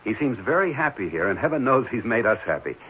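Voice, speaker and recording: An actor playing a gentle, earnest married foster father in a 1970s radio drama, speaking hesitantly and reassuringly. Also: mid-range voice, sing-song, fast talker, lightly acted. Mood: excited. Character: gentle, caring married man fostering an orphan boy. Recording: muffled, noisy old radio broadcast.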